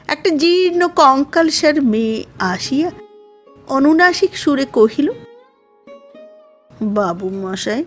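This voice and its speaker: A woman narrating a literary audio story in a measured, formal reading manner. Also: sits high.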